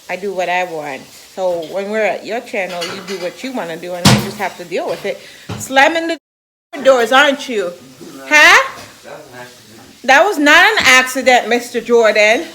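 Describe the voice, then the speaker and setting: A Jamaican woman talks straight to her viewers in a casual mom vlog. Her voice sits at an everyday middle pitch, and her delivery is sassy and teasing. There is clear background noise under the home recording. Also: normal speed. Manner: sassy, teasing, animated storyteller. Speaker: mom vlogger.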